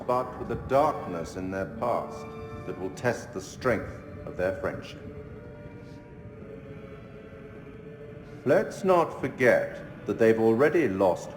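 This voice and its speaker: A man playing an enigmatic, stately curator of dark tales in a video game, speaking in a measured, formal, theatrical way. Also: on the low side. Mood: grave, brooding. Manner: measured, formal, ominous, deliberate. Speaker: narrator addressing the player. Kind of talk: theatrical scripted game narration. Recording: echoey hall reverb, music behind.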